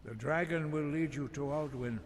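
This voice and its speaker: An actor playing a solemn, wise elderly monk master in a fantasy video game, speaking in a grave, measured, formal way. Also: male voice, a little high, slight background noise, close to an everyday voice. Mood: grave, resigned.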